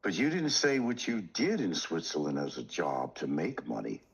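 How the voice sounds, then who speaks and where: A man's voice: deep voice, huge pitch swings, normal speed, creaky, slightly rough. A man interviewing, probing and skeptical in his questions.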